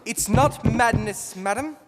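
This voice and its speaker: Pompous parish beadle, lecturing, radio drama, loud music, reverb. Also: male voice, very high voice, measured pace. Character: pompous self-important male parish beadle.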